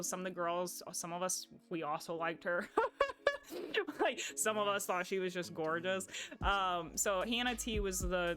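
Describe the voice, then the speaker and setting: A woman's voice: fairly low pitch, a bit bright. A young woman with a Midwestern accent talking casually to her stream chat in a rambling way, full of "like" and restarts. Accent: Midwestern American. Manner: chatty and rambling, heavy on "like" and restarts.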